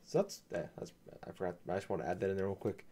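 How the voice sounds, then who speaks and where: A man co-hosting a casual gaming podcast, talking in a laid-back, hesitant way with many ums and restarts, his voice slightly gravelly from a coming cold. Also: deep voice, rapid-fire, warm tone. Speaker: podcast co-host.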